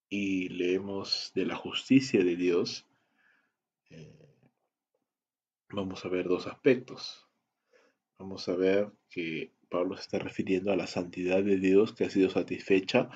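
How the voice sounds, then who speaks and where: A man teaching a Bible study lesson, in a measured, deliberate style that moves through lists and rhetorical questions. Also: low-pitched, darkish tone, husky, lo-fi sound. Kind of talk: slow, deliberate teaching lecture.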